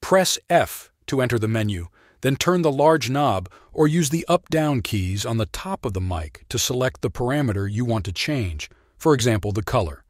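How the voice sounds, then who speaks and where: A man's voice: mid-range voice, lively intonation, normal speed, few pauses, no room sound. Man narrating a tutorial, dry sound. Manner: clear, instructional, plainly explanatory. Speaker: product demonstrator and narrator.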